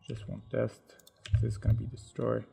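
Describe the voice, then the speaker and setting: Tutorial narrator, man, non-native English, gravelly and fairly low, halting think-aloud delivery. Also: little pitch movement, warm tone. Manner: unhurried, thinks aloud step by step with many hesitations. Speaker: software tutorial instructor. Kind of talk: narrating a screen-recorded modeling tutorial.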